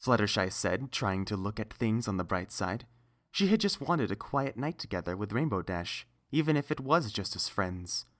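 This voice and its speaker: A woman's voice: extremely low pitch, sing-song, normal speed. A woman reading a scripted story aloud as the narrator.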